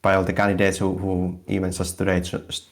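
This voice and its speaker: A man with a Finnish accent hosts a community call in a loose, casual way, leaning on "like". His voice is very deep and gravelly, and it stays flat. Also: brisk. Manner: loose, filler-heavy chat with lots of "like". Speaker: AMA host. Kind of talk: casual moderating.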